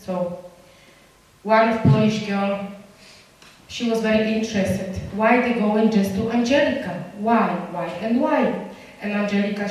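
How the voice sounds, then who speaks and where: A woman whose first language is Romani shares personal stories with a group, earnest and halting, stopping often to find her words. She is heard from a distance in a very echoey room, with faint ambient sound behind her. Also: mid-range voice, darkish tone. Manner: earnest, halting English with many fillers and restarts. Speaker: community speaker.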